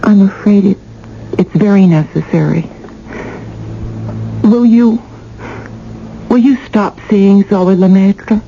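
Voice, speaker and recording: An actress playing an insecure, neglected wife in a 1980s radio drama, hesitant and plaintive. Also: female voice, mid-range voice, takes it slowly, pauses often. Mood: pleading. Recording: muffled, noisy old radio broadcast.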